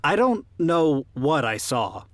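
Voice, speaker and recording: A man's voice: fairly high pitch, sing-song, slow, dry recording. A young man with an American accent acting a skeptical but loyal college friend in a radio drama, wry and exasperated. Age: young adult.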